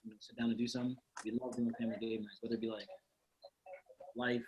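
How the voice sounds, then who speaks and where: A man in his twenties hosting a casual group chat with friends on a video call, animated and quick to laugh. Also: medium pitch, a little hiss or hum, low-quality recording. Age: 20s. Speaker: host.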